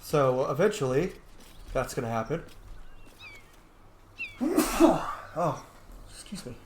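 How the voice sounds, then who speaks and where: A man's voice: on the high side, big pitch swings, takes it slowly, slight background noise. A man hosting a casual video game roleplay commentary, slightly under the weather and a bit sniffly. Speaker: gaming channel host. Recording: roomy echo, faint game music behind. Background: faint game music.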